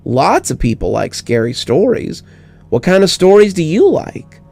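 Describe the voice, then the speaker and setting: A man's voice: high-pitched, huge pitch swings, a little slow, vocal fry, darkish tone, voice pushed up, exaggerated voice. A man voicing a mischievous, curious six-year-old girl in a horror story reading, with a pert, inquisitive, childlike manner. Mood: excited.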